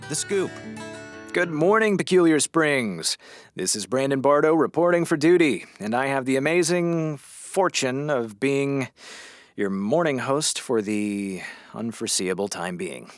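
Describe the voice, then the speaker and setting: A man playing a groggy, sardonic radio morning host in a comedy audio drama, with a dry, halting delivery. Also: mid-range voice, no room sound, natural voice.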